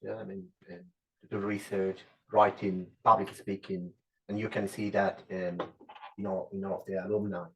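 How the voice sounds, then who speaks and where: A man giving a brief, measured comment on a panel. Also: low voice, flat delivery, fairly bright tone. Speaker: roundtable participant. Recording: far-off, muffled mic.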